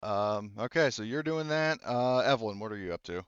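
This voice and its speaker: A man with a lowish, clean voice chats casually as he runs a tabletop roleplaying game. The sound is close and dry, with the top end a little muffled. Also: expressive, a little fast, fairly bright tone, smooth, dry recording.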